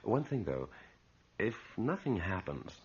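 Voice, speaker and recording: A man's voice: fairly low pitch, very animated, a little slow, slightly rough, exaggerated voice. An actor playing a brash, broke young man, a sceptical ghost researcher in a radio mystery, speaking politely with hesitant stammers. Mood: probing. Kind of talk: radio mystery drama acting. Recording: muffled old radio recording.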